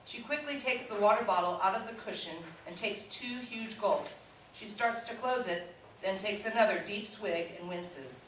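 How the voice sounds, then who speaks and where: A woman's voice: mid-range voice, animated, moderate pace, fairly bright tone. A woman reading stage directions as scripted narration at a live play reading. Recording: echoey room from far off, faint noise.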